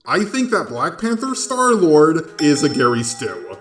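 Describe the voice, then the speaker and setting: A man's voice: on the high side, huge pitch swings, unhurried, a bit bright. A man delivering scripted video commentary in an emphatic, sarcastic and incredulous manner. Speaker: video essayist host. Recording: roomy echo, music behind. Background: background music.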